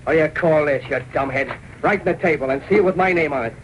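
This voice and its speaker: A man plays a blustering American mob collector from an old radio serial. His voice sits a little high, his talk is slangy and sneering, and faint hiss runs behind it. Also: fast talker, close to an everyday voice, rough recording. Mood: bullying, sneering. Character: tough sneering male racketeer's collection henchman. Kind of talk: 1930s radio crime drama acting.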